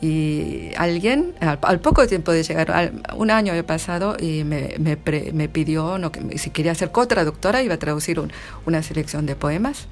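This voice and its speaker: A woman's voice: low-pitched, expressive, normal speed, faint music behind. A middle-aged woman with an Argentine accent, a literary translator chatting in an interview with many restarts and frequent laughs. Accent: Argentine Spanish. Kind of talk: casual interview answers.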